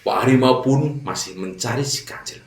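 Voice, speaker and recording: A man's voice: medium pitch, moderate pace, roomy sound, far from the mic. A man narrating a fable for children as a storyteller, addressing his young listeners directly.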